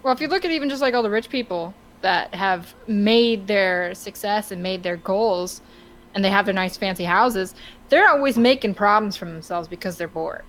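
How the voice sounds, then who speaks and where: A woman with a medium-pitched voice chats informally as a podcast co-host. Her speech is conversational and unscripted. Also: normal speed, fairly bright tone, poor audio. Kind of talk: casual chat.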